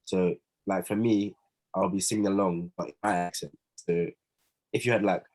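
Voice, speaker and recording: A man's voice: sits low, steady tone, normal speed, a little mellow. A young man asking questions on a podcast in a hesitant, casual way with many fillers. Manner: hesitant, lots of ums and likes. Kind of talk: casual chat.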